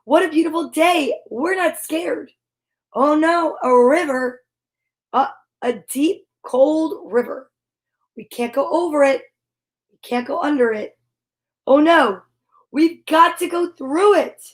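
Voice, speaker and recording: A high-pitched woman reads a picture book aloud to children at a slow, unhurried pace. Her delivery is animated and sing-song, with playful sound words, dramatic exclamations and chatty asides. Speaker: host reading picture books aloud.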